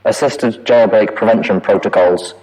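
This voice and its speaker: A man's voice: lowish voice, moderate pace, a little mellow, rough recording. A man voicing a frightened male prison staff pony, trapped and pleading, heard as a recorded audio log. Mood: grim, fearful. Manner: fearful, pleading, rising to panic. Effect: audio log playback.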